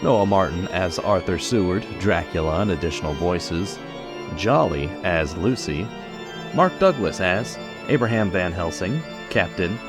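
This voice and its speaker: A man reading a scripted cast announcement as an announcer over music. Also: deep voice. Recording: music under voice.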